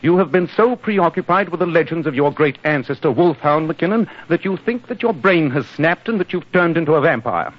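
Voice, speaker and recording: An actor playing a brisk, self-assured British gentleman detective, clipped and authoritative, in 1940s radio style. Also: on the high side, animated. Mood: commanding. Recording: dull 1940s radio recording.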